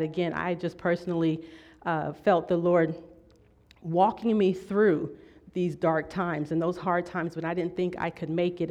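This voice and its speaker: A woman preacher giving a conversational sermon in an earnest, measured way, often checking in with her listeners. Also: fairly low pitch, darkish tone. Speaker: preacher teaching a church series.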